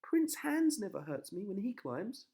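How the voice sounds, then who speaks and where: A man voicing a feisty, sharp-tongued young princess in a bedtime story, girlish and indignant. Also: big pitch swings, unhurried, breathy edge, exaggerated voice. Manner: indignant, exaggerated high girlish delivery.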